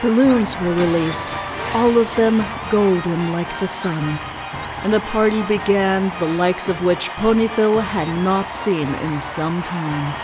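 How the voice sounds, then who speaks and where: A woman's voice: medium pitch, measured pace, rough recording. A woman reading scripted story narration as the narrator of a fan fiction. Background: music.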